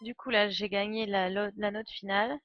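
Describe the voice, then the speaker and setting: A woman's voice: mid-range voice, fairly even intonation, moderate pace, highs cut off. A woman, a game streamer commenting casually and thinking aloud with hesitations. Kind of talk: casual live commentary and explanation. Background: game music.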